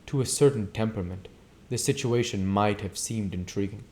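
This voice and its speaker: A man with a fairly low voice reads literary fiction aloud. His delivery is deliberate and emphatic, with a theatrical touch, like a homemade audiobook. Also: a little mellow. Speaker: amateur audiobook reader, channel host. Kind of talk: reading a classic novel aloud.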